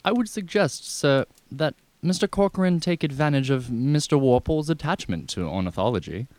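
A man's voice: medium pitch, animated, a little mellow, no room sound, close to an everyday voice. Proper unflappable butler type guy acting, formal n wordy, very polite, dry sound, radio comedy. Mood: discreet. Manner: formal, measured, wordy, deferential.

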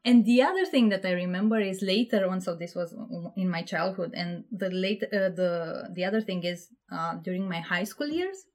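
A woman's voice: fairly low pitch, lively intonation, normal speed, few pauses. A woman from Romania, talking casually as a podcast guest, laughing often and restarting her sentences with fillers. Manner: warm and giggly, with frequent fillers and restarts. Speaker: podcast guest, project management officer.

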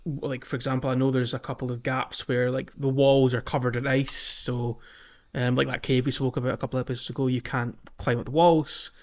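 A man's voice: medium pitch, flat delivery, a little fast. A man co-hosting a podcast, chatting casually about games on a slightly lo-fi recording. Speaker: podcast host. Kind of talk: casual gaming podcast chat. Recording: slightly dull, lo-fi sound.

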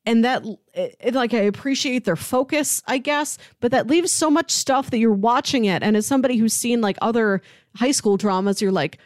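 A woman co-hosting a podcast, giving opinions on a show in casual, animated chat peppered with "like". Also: fairly high pitch, moderate pace, dry recording, clean studio sound. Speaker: podcast co-host reviewing a TV drama.

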